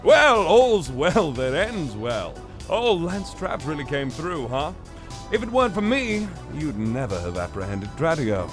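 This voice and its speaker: Slick dramatic thief, kinda high voice, wildly swoopy delivery, loud music behind. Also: male voice, very animated, unhurried. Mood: smug. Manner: suave, flamboyant, dramatic. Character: smooth, theatrical male master thief, smug yet easily panicked. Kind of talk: audio drama acting.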